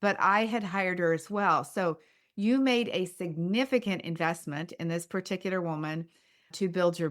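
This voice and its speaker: A woman's voice: fairly low pitch, a bit bright. A woman hosting a business-coaching podcast, interviewing a guest with an enthusiastic, effusive manner.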